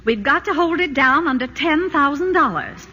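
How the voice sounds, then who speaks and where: A woman's voice: high voice, animated, normal speed, bright, forceful tone, muffled recording. An actress playing a cheerful, devoted wife and mother in a 1940s radio drama, with a sweet, coaxing manner. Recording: old radio broadcast with long reverb, faint hiss.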